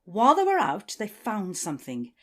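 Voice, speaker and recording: A woman's voice: mid-range voice, huge pitch swings, moderate pace. An actress playing the authoritative female leader of a survivor community in an audio drama. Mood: businesslike, commanding. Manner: authoritative, swearing, shifts from supportive to domineering. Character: commanding female community leader, confiding yet ruthless.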